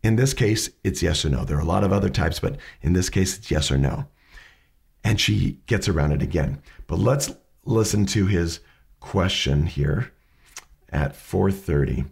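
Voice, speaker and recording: A man hosting a lesson on asking questions, his voice raspy from hay fever, explaining step by step and often restarting his sentences. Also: deep voice, normal speed, darkish tone. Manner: methodical, restarts mid-sentence while explaining. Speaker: podcast host teaching questioning.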